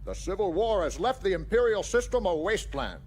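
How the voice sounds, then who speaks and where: An actor playing a grave alien admiral in a sci-fi audio drama, speaking in a formal, weighty manner. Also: male voice, sits high, big pitch swings, fairly quick, a little mellow. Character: grave alien male admiral of a rebel fleet. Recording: faint background noise.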